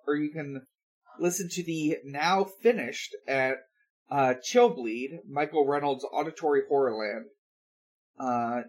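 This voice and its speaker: A man hosting a podcast in casual talk, with a halting, hesitant delivery. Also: mid-range voice, low-quality recording. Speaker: podcast host.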